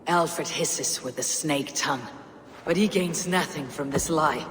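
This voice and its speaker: An actor playing a stern, blunt Viking warrior leader who speaks grimly and firmly, in a video game. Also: male voice, on the high side, measured pace, fairly bright tone, big-room sound, a little hiss or hum. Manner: grim, firm.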